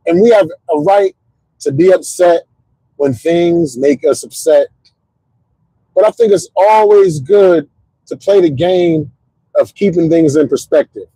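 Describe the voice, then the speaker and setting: A middle-aged man hosts a casual stream, swinging up and down through a high, mellow voice with a gravelly edge. He talks in a looping, motivational way, doubling back on his words and checking in with his listeners. The sound is close and dry. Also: a little slow, long pauses, no echo, rough recording. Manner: animated motivational rambling, repeats words, says "you know what I'm saying". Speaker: host. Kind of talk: casual livestream talk.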